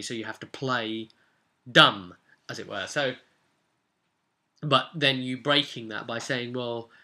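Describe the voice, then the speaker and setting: Man, very bright forceful voice, rambling. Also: mid-range voice. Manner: halting, rambling, with emphatic bursts. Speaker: game master recapping a tabletop role-playing session. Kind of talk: casual unscripted vlog recap.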